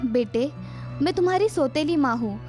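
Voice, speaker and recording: Woman playing a gentle, high-voiced elderly mother-in-law. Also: expressive, normal speed, faint music behind. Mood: tender.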